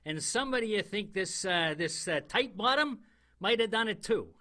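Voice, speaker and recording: A man playing a blustering, bumbling detective in an improvised radio play, halting and repeating himself. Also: high-pitched, lively intonation, sharp tone. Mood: puzzled. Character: bumbling, blustering male detective questioning suspects.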